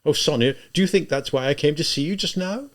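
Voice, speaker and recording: A man voicing a tormented, spiteful young murderer in an audiobook, halting and emphatic as he reproaches himself. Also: on the high side, voice pushed up, close to an everyday voice. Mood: despairing.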